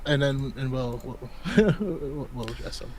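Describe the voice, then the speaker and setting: A man's voice: medium pitch, lively intonation, measured pace, a little mellow. A man co-hosting a casual livestream chat, opinionated, stammering through restarts and laughing a lot. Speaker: podcast co-host.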